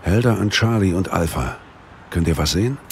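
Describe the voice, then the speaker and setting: Deep-voiced, stern police commander. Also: male voice, moderate pace, creaky, a little hiss or hum. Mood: resolute. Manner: terse, commanding. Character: stern, irritable male police squad commander. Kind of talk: German audio drama acting.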